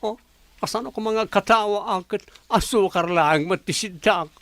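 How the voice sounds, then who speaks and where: A woman's voice: medium pitch, normal speed, fairly bright tone, dry recording, natural voice. Woman acting a blunt, teasing Ilocano workmate. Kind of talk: Ilocano radio-style drama acting.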